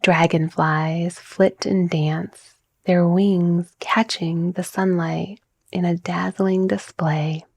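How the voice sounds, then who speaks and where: Soft, slow, hushed woman narrating relaxation. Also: lowish voice, level tone, no room sound. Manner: soft, breathy, soothing, gently measured delivery. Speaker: guided meditation narrator, mindset coach. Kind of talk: calming guided visualization, scripted relaxation narration.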